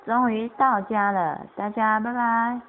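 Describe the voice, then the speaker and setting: Slow-paced woman on a tinny recording. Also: on the high side, fairly even intonation. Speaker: host and narrator of an amateur radio play. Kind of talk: amateur radio drama performance. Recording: tinny phone-like sound with faint noise.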